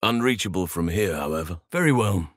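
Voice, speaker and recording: A wise, aged male elf druid in a fantasy video game. His voice sits low and is recorded close and dry. He speaks formally and earnestly, with measured, protective gravity. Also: lively intonation, no room sound. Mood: solemn, hopeful. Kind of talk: video game character dialogue.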